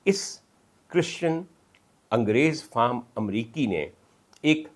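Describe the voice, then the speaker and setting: A man with a Pakistani accent delivering political commentary as an emphatic, oratorical monologue, with dramatic pauses and piled-up lists. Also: mid-range voice, expressive. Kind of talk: rhetorical monologue of political analysis.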